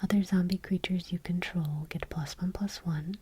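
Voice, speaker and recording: A woman doing gentle ASMR, reading aloud in a whispery, breathy, deliberate voice close to the microphone. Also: on the low side, fairly even intonation, dry recording. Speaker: ASMR video creator. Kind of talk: soft-spoken ASMR, reading trading cards aloud. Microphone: close microphone, dry.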